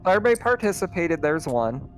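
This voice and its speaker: A man's voice: on the high side, very animated, fast talker, soft background music, rough recording. A man chatting casually as game master of a tabletop roleplaying session.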